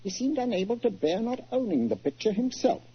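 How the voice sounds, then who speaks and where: An actor playing a worried, blustering titled English art collector with a British accent and gruff, hemming speech, in 1940s radio style. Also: male voice, high voice, sing-song, fairly quick, full character voice. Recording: muffled old 1940s radio recording.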